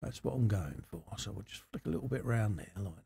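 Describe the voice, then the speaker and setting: A man with a low, gravelly voice and a mellow tone talks through hobby work at his bench. He is informal and chatty, explaining each step as he goes in an unhurried way. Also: expressive. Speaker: host of a scale model workshop channel. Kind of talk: casual hobby tutorial commentary while working. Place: indoor hobby workbench.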